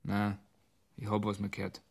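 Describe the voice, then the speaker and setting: Casting: sullen Bavarian detective, terse, muttered, drily sarcastic, for a radio play. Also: male voice, fairly low pitch, completely flat delivery, moderate pace, gravelly, slightly rough. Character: bitter, sullen, laconic police inspector. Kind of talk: radio drama acting.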